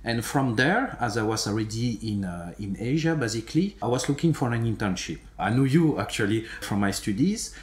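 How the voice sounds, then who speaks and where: A man's voice: medium pitch, lively intonation, fairly quick, hardly any pauses. A man in his thirties, from Ukraine and raised in Belgium, telling his career story in an interview with many fillers and restarts. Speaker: guest, company director. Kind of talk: conversational interview. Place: studio room with sofa. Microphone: desk microphone. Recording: roomy echo.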